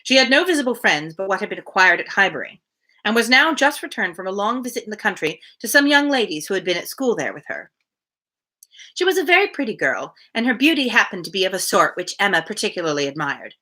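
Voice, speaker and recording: A woman with a bright, animated voice reads a classic novel aloud for a livestream. Her delivery is expressive and storylike, with pitch that moves freely, and she slips easily into casual asides. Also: fast talker. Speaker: reader hosting a daily livestream.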